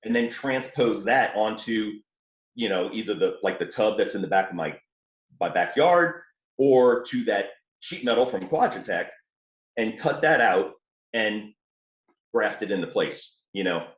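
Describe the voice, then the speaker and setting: A man from New Orleans with a light Southern accent, explaining his Jeep build step by step in a relaxed, casual way. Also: on the low side, measured pace, roomy sound, dull audio. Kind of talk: casual technical chat. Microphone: remote call.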